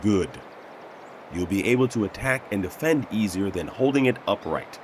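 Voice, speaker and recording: A man's voice: lowish voice, lively intonation, moderate pace, darkish tone, a little hiss or hum, lightly acted. A man playing a tough, teasing survival trainer in an audio drama, blunt and instructive. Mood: stern, instructive. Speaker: combat mentor character. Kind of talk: acted audio drama dialogue.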